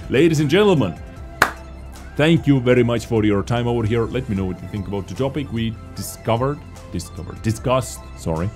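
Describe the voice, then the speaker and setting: A man whose first language is not English, hosting an emphatic gameplay commentary voice-over and swinging up and down in pitch and loudness. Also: animated, fairly quick, music in the background. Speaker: gaming video host.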